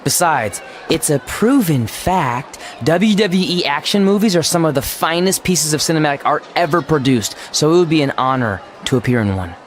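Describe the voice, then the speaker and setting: A man playing a goofy, wrestling-obsessed high school boy in a video game, playful and mock-pompous. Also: lively intonation, moderate pace, hardly any pauses, close to an everyday voice. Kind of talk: scripted video game cutscene acting. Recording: faint background noise.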